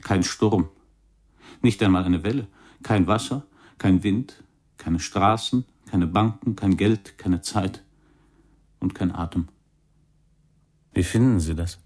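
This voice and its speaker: An actor playing a weary, boozy young jazz cornettist in a radio play, rambling and confiding in broken phrases. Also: male voice, sits low, slow pace, pauses often, darkish tone. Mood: bleak.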